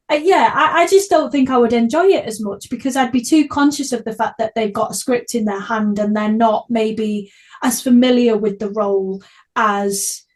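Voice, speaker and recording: Higher-voiced woman hosting a podcast. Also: darkish tone. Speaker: podcast host, actor. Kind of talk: casual interview chat.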